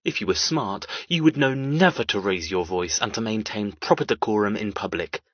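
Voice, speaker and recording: A man playing a cold, controlling villain in an audiobook, speaking formally and with quiet, controlled threat. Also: fairly low pitch, normal speed, no echo, dull audio. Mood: quiet menace. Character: cold, menacing, controlling male villain and kidnapper. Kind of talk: audiobook character dialogue.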